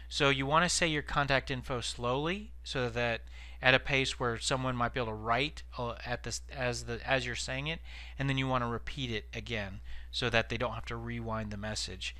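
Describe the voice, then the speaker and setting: Bright-voiced man giving an informal lesson. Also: medium pitch, no room sound. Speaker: sales trainer presenting tips. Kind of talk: informal instructional presentation.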